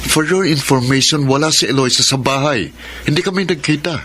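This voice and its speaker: A man's voice: fairly high pitch, a little fast, bright, forceful tone. A man playing an exasperated middle-aged father and defensive partner in a Filipino radio drama. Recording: clear background noise.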